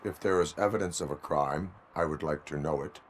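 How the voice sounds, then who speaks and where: An actor playing a stoic cyborg police officer in a video game, speaking in a deadpan, formal, clipped way. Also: male voice, very deep voice, a little fast, a little hiss or hum.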